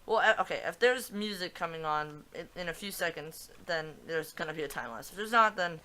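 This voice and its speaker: A man's voice: fairly high pitch, animated, a little fast, bright, forceful tone, a little hiss or hum. A man hosting a casual gaming video, thinking aloud in a loose, rambling way as he talks over his own footage. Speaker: gaming channel host. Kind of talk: casual gameplay commentary.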